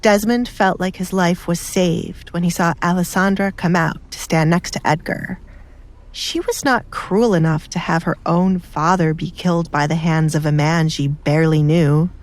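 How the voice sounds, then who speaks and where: A woman's voice: fairly low pitch, fairly even intonation, normal speed. A woman reading a scripted dramatic story as an audiobook narrator.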